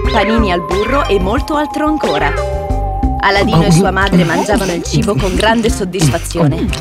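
A woman storyteller giving scripted narration of a children's fairy tale. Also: mid-range voice.

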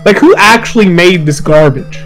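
Male podcast host, slightly high voice, foul-mouthed and hyperbolic, casual banter over soft music. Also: animated, normal speed. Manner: profane, exaggerated ranting. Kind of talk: casual chat.

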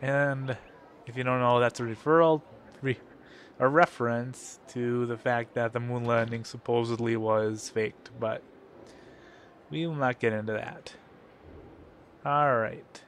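A man's voice: medium pitch, a little slow, pauses often, a little hiss or hum. Man with a cold, casual game commentary. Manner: relaxed, hesitant, lots of ums. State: has a cold. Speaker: let's play host.

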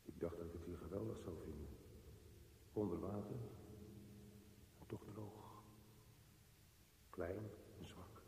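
An actor playing a lone, anxious man brooding in a tunnel, speaking a radio drama monologue in halting, clipped phrases. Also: low-pitched, creaky, dark tone, exaggerated voice. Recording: echoey hall reverb, faint hiss.

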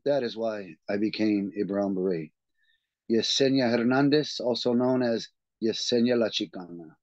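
A man hosting a reading group, reading a text aloud in an earnest, steady way and then leading the discussion over a video call. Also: fairly low pitch, normal speed, dry recording. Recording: dull, dry lo-fi video call audio.

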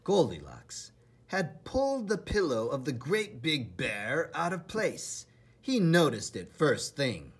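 A man reading a children's storybook aloud in an expressive, dramatic, deliberate way, with a husky, breathy voice. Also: a little high.

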